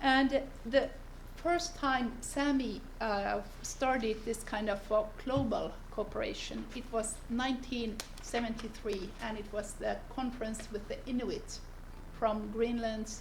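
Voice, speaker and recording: Gravelly-voiced woman giving a seminar talk. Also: a little high, steady tone, slight background noise, low-quality recording. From northern Finland. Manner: unhurried, pauses to search for words. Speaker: seminar speaker, lawyer. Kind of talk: seminar presentation. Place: seminar room.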